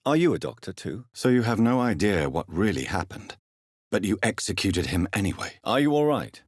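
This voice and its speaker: Video game lead: grave British vampire doctor, deep and husky, formal, courteous phrasing. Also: male voice, very animated, dry recording, clean studio sound. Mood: concerned, courteous. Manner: formal, measured, polite questioning. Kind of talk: dramatic video game dialogue.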